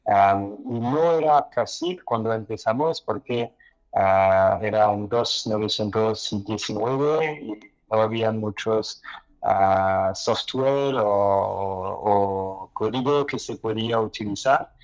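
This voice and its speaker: Slow, halting low-voiced man. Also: low-quality recording. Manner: hesitant, many filled pauses. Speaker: exchange founder, guest. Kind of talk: casual interview talk.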